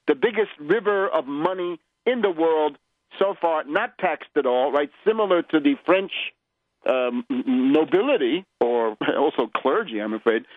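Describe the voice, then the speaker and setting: Muffled radio host, higher-voiced man, professorial. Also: no echo. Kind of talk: conversational political radio interview.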